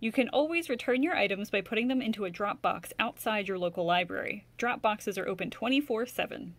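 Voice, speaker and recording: A woman's voice: mid-range voice, expressive, fairly quick. A woman in her thirties presenting a library tour as a children's librarian, enthusiastic and emphatic. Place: indoors in a public library.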